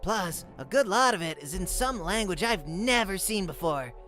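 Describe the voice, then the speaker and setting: A performer voicing an earnest, bookish young male cup creature in a comic dub, lecturing precisely and excitably. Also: medium pitch, moderate pace, faint music behind, lightly acted. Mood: excited, wondering.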